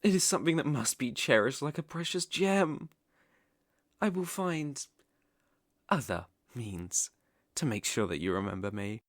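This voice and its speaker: A man's voice: mid-range voice, expressive, dry recording, studio quality. A man playing an obsessive, sinister young villain king in an ASMR roleplay, speaking theatrically and sometimes dropping to a whisper. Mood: threatening. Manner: sinister, theatrical, slow drawn-out phrasing with whispers.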